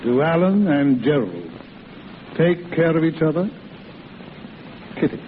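An actor playing a kindly middle-aged hospital doctor, avuncular, deliberate and probing, in a 1940s radio drama. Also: male voice, a little high, sing-song. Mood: earnest, probing. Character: kindly, fatherly head doctor of a rehabilitation hospital. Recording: tinny, hissy old radio broadcast.

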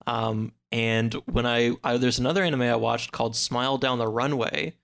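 Casting: male podcast guest, informal, slightly halting delivery, average pitch. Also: fairly even intonation, vocal fry, a bit bright. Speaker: podcast guest and co-host of another show. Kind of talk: casual podcast chat.

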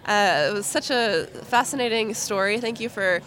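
A woman's voice: mid-range voice, normal speed, a bit bright. A woman hosting an interview, asking questions and affirming her guest in a friendly, thoughtful way.

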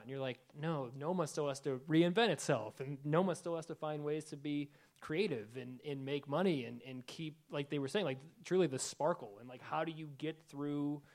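A man answering on a stage panel, relaxed and loose, with many fillers like "like". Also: fairly high pitch. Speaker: TV writer and director on a panel. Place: event stage before an audience.

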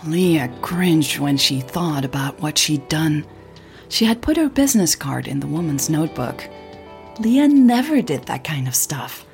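A woman reading scripted audio drama narration in a husky voice. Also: sits low, big pitch swings, measured pace, darkish tone, faint music behind.

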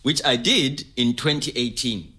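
A man's voice: mid-range voice, measured pace, sharp tone. An actor playing a sentimental, devoted immigrant man, recalling his life in a conversational way. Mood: fond, earnest. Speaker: actor in a scripted audio story. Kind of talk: naturalistic conversational storytelling.

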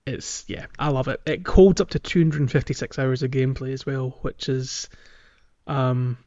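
A man's voice: mid-range voice, fairly even intonation, normal speed, a little mellow, highs cut off. A man hosting a casual video game podcast, chatting informally and explaining things. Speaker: podcast host.

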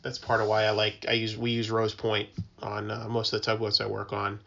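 A man talking casually as a guest, explaining things informally and haltingly as he thinks aloud. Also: on the low side, completely flat delivery, creaky, bright, forceful tone, highs cut off. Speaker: tugboat mariner, podcast guest.

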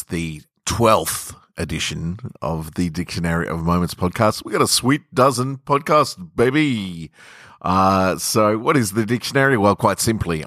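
A man's voice: deep voice, fairly bright tone, dry recording, studio quality. A man hosting a podcast and reading their own short fiction. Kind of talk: casual podcast introduction, then slow narrated fiction reading.

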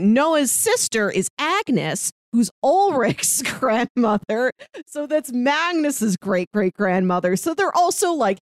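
A woman's voice: a little high, no echo, studio quality. A woman co-hosting a podcast, chatting expressively and casually about a TV show. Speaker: podcast co-host.

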